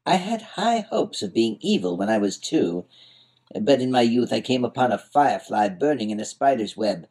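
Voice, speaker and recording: A man's voice: medium pitch, sing-song, moderate pace, a little mellow, poor audio. A man voicing a whimsical, proud, absent-minded little old magical helper in a storybook, with a quirky, emphatic way of talking. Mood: muddled, confiding.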